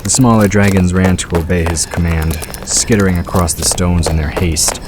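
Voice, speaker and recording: Deep-voiced man narrating a fantasy story. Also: fairly even intonation, moderate pace. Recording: clear background ambience noise.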